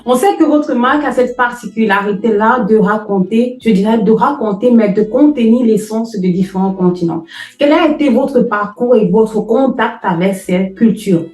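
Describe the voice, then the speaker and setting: A woman's voice: a little high, normal speed, a little mellow, roomy sound, faint music behind, low-quality recording. A woman hosting an interview, speaking with effusive emphasis and repeating phrases for effect. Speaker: host. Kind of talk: emphatic podcast introduction and interview.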